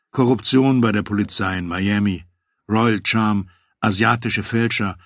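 A man reading a first-person memoir as an audiobook, in a steady storytelling manner that lifts for quoted dialogue. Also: fairly low pitch, vocal fry, breathy edge, no room sound, studio quality. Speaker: audiobook narrator.

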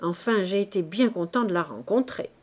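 A boastful, sly man in his prime speaks loudly and teasingly, as a woman reading the story puts him on. His voice rides high and bold as he spills gossip, for a storybook reading. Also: expressive, moderate pace, close to an everyday voice.

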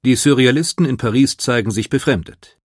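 A man reading scripted biographical narration as a documentary narrator, measured and factual. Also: medium pitch, no echo, clean studio sound.

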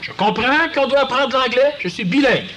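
A man with a Quebec French accent giving a lively, conversational talk to an audience and acting out quoted voices. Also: very high-pitched, normal speed, slight background noise. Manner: animated, acts out quoted voices. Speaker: lecturer.

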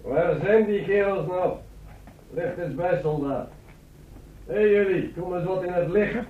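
Oafish castle tyrant, blunt, cowardly underneath, slow delivery, dull radio sound. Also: male voice, sits high, slight background noise. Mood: bullying. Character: dim blustering brutish castle lord, cowardly underneath. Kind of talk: radio drama acting. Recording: muffled old radio recording.

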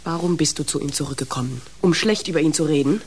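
An actress in a German radio play playing a tired, loyal niece who is irritable and stubbornly hopeful. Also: female voice, low-pitched, quick pace, a little mellow, a little hiss or hum, lightly acted. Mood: hurt, defiant. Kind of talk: German radio drama acting.

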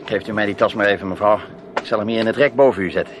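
An actor in a Dutch radio play playing a courteous, inquisitive gentleman traveller with a formal, polite manner. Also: lowish voice, racing, close to an everyday voice. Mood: gallant. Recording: aircraft engine noise behind.

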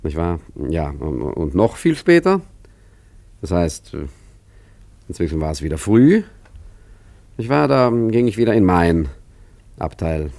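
A man's voice: low-pitched, sing-song, a little slow, vocal fry, long pauses, darkish tone, no echo, full character voice. An actor playing an unflappable, rambling male traveller in a German radio play, hesitant and wryly correcting himself. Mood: wry recounting. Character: rambling, unflappable, tipsy male traveller recounting his misadventure. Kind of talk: German radio play acting, chatty first-person narration.

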